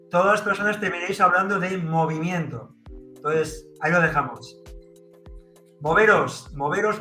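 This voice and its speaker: Male host, bright and higher voice, fillers, echoey video-call sound. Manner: affirming, hesitant fillers. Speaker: podcast host and trainer. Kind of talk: casual interview chat. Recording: roomy echoey call audio.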